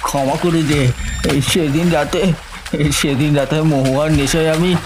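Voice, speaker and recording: A man's voice: on the high side, steady tone, a little slow, noisy background, pitched above the performer's own voice. A male narrator voicing a terrified, guilt-ridden village boatman who pleads in a trembling voice. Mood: pleading. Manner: trembling, begging. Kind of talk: horror audio story voice acting.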